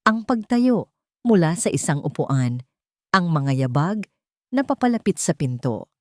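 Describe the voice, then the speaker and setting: A woman's voice: low voice, dry recording, studio quality. A woman with a Filipino accent reading a scripted story as a dramatic narrator.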